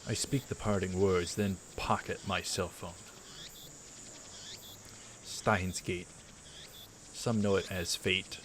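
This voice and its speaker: A man with a deep, low voice reads a visual novel aloud for a let's play. He moves between measured narration and halting casual asides. The home recording carries clear background noise. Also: fairly even intonation, a bit bright.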